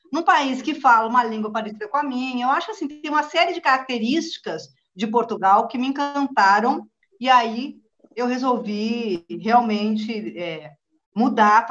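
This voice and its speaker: A middle-aged Brazilian woman speaks as a guest in an online interview. Her voice is fairly high and she tells her own story casually and conversationally. The call audio is dull and lo-fi. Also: a bit bright. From Rio Grande do Sul, southern Brazil. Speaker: guest, journalist. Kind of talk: casual personal account in an interview.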